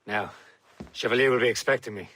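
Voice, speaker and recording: Brisk, bright-voiced assassin. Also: male voice, medium pitch, breathy edge, faint music behind. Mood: businesslike. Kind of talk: video game cutscene acting.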